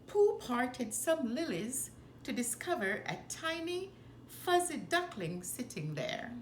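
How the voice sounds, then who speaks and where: A woman reading a children's story aloud with expressive, animated, deliberate phrasing. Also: high voice. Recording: roomy echo, faint background noise.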